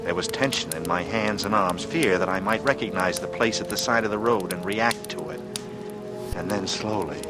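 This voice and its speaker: Man acting nervy killer in old radio noir, lowish voice, fake casual, loud music behind. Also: moderate pace, lightly acted. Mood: tense, brooding. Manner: confiding, tense, feigning innocent curiosity. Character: secretly guilty strangler narrating, nervy, falsely casual man from the East. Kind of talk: 1940s radio noir acting, first-person narration.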